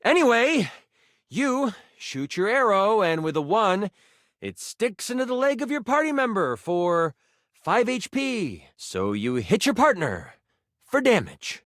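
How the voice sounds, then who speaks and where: A man's voice: sits high, big pitch swings, unhurried, a bit bright, no echo, clean studio sound, full character voice. An actor playing an exasperated, sardonic male game master, a living die in a video game, narrating with theatrical flair. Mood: wry narrating. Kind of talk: tabletop game narration with banter, video game voice acting.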